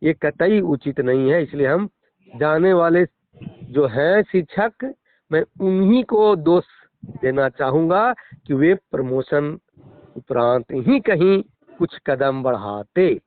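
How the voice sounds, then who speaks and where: A man's voice: fairly high pitch, lively intonation, slow pace, no echo. A middle-aged man with a Bihari accent, a schoolteacher arguing his position emphatically and formally in a phone interview. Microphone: phone call. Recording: narrow, tinny phone line.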